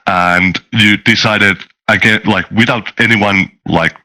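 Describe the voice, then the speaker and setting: A man's voice: on the low side, fairly even intonation, slow, sharp tone, poor audio. A man telling game-master anecdotes casually on a podcast, circling back and repeating phrases as he goes.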